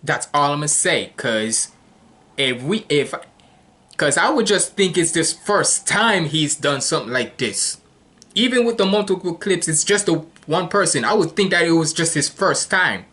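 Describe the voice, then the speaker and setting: A man's voice: on the high side, lively intonation, moderate pace, pushed and bright. Rambling man from Jamaica reacting casually. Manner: rambling, many restarts, casual slang. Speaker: reaction video host.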